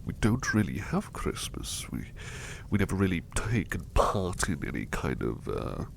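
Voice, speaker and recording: A man voicing a sickly young male butler, speaking in a soft, whispery, courteous way close to the mic while resting in bed unwell. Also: deep voice, sing-song, moderate pace, creaky, a little hiss or hum, full character voice. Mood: gentle, grateful. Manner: courteous and formal, hesitant restarts. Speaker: voice actor. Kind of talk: ASMR roleplay.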